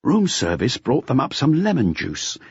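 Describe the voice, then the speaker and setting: A man voicing an eager, chatty junior police detective who briskly confides his report to the chief. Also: medium pitch, wildly swooping pitch, a little mellow, breathy edge, dry recording, highs cut off, voice pushed up, exaggerated voice. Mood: brisk, confiding.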